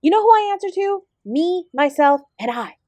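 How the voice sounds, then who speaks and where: A woman acts as an immortal celestial dancer and guru in an audio roleplay. Her voice is high and closely recorded with no room sound. She is sardonic and fiercely independent, addressing the listener with exasperated, emphatic bite. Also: very animated, moderate pace, warm tone, no room sound. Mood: defiant.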